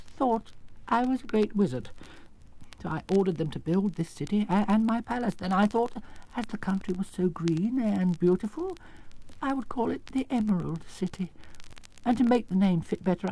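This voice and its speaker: A man's voice: high voice, mellow, pitched above the performer's own voice, low-quality recording. A man playing a timid, flustered little old fraud of a wizard in a storybook tale, with a trembling, stammering voice. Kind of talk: storybook character acting.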